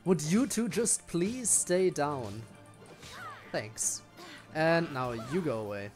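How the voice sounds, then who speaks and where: A man's voice: a little high, animated, takes it slowly, music in the background. A man streaming a video game with casual commentary and wry, muttered asides to himself. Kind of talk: casual live gameplay commentary.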